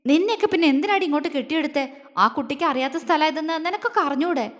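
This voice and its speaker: Audio-story role: agitated, questioning family man, read by a woman, high pitch, long reverb. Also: quick pace, natural voice. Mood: angry, scolding. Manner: urgent, questioning. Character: alarmed, scolding men of the family. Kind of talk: dramatic audio-story dialogue.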